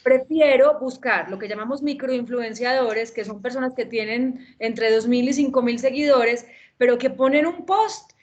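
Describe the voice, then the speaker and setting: A woman speaks on a public relations panel and lays out her points with everyday examples. Her voice sits a little high and stays animated. The sound is muffled and lo-fi. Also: normal speed. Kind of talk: academic panel talk. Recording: dull lo-fi sound.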